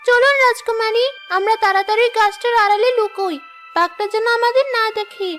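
A woman's voice: very high voice, fairly quick, a bit bright, faint music behind. A woman voicing a cautious, deferential young maid to a princess in a cartoon story, with an anxious manner. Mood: wary. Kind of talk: Bengali cartoon story dialogue.

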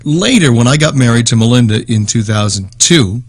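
A man's voice: mid-range voice, expressive, brisk, breathy edge, no echo. A man with an American accent, a radio host, giving a conversational tribute. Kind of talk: conversational reminiscence.

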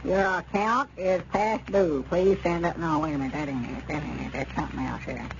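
A man's voice: a little high, fairly even intonation, fairly quick, close to an everyday voice. A man playing a gullible, defensive old country storekeeper with a rural Arkansas accent in radio comedy, folksy and flustered. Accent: Southern US, rural Arkansas. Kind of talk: 1930s radio comedy acting. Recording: muffled, noisy 1930s radio recording.

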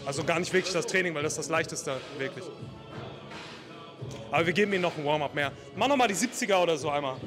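A man's voice: fairly high pitch, fast. A man in his thirties hosting with a handheld mic in a busy indoor gym, giving playful, teasing commentary. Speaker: vlog host with handheld mic. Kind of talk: casual joking gym commentary. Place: large indoor gym with crowd. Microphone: handheld microphone. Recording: loud music over gym recording.

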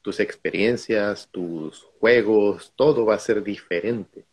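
A man's voice: on the low side, takes it slowly, a little mellow, breathy edge. A middle-aged man from Guatemala, a guest on a video call, telling stories from his own life in a reflective way. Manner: reflective, storytelling. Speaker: guest speaker.

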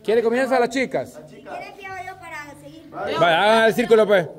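A man's voice: high-pitched, animated, unhurried. A Salvadoran man hosting an outdoor group game from behind the camera, casually calling out instructions and explaining the rules. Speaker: host filming and refereeing a group game. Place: outdoors under an open-sided park gazebo. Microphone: handheld camera, speaker behind it. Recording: outdoor group chatter around the voice.

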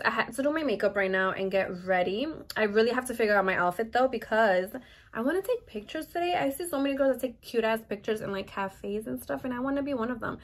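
A young woman vlogger speaks at a middling pitch. She chats informally to the camera in slangy, casual language, as if talking to friends. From Queens, New York, United States. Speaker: lifestyle vlogger.

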